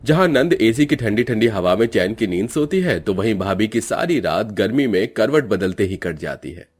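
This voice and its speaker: A man's voice: on the low side, sing-song, moderate pace, a little mellow, husky. A man narrating a cartoon family story in a dramatic, scripted storytelling style.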